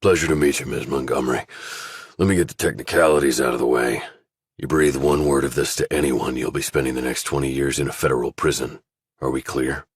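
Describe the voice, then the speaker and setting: A man voicing a stern retired general who runs a covert office, speaking in a commanding, deliberate way as audiobook dialogue. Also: a bass voice, steady tone, slightly rough, no room sound, studio quality, heavily acted.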